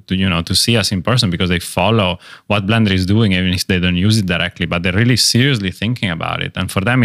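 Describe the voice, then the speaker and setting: A man's voice: low-pitched, vocal fry, a bit bright, no room sound, clean studio sound. A man hosting a podcast in casual chat, talking with enthusiasm and rambling through many fillers. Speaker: podcast host.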